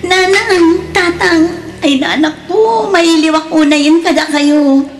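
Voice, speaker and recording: A woman's voice: very high-pitched, slow pace, full character voice. A woman with a Filipino accent playing a hurt but resolute young mother in an Ilocano radio drama. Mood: warm, emotional. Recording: music behind the voice.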